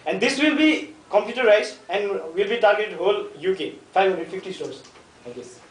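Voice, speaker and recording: A man's voice: high voice, animated, normal speed, a little hiss or hum. A young man gives a hesitant, joking class presentation and quizzes the class. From Nepal. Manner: hesitant, jokey, addresses the class. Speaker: student presenter. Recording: echoey classroom, mic far off.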